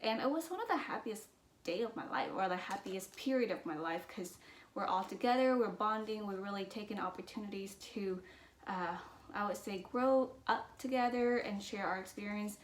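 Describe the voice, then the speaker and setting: A woman's voice: mid-range voice, normal speed, a little hiss or hum. A woman from Vietnam with as her talks earnestly and reflectively to her channel, with many fillers and restarts. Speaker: business and mindset vlogger. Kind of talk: personal talk to camera. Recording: roomy echo.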